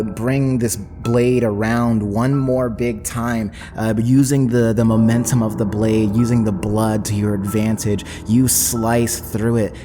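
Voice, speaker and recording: A man narrating a tabletop roleplaying game as game master, describing the scene dramatically. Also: lowish voice.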